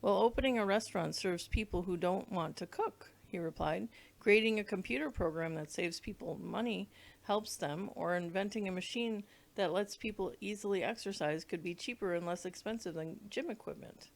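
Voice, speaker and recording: A woman's voice: mid-range voice, normal speed, vocal fry. A woman reading a children's book aloud, now and then stumbling over words and rereading them. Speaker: read-along reader.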